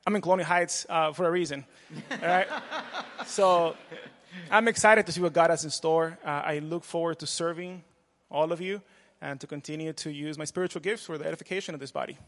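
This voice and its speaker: A man from Peru, a pastoral candidate, introducing himself to a church in an earnest, measured way with frequent fillers. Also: fairly high pitch, fairly even intonation, a bit bright. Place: large church sanctuary. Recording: long hall reverb.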